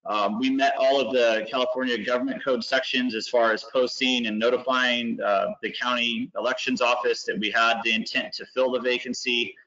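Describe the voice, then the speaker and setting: A man from the district staff explaining a process at an online board meeting, halting and full of ums. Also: mid-range voice, little pitch movement, pushed and bright. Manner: halting, many ums and uhs. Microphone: video call. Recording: dull lo-fi video call audio.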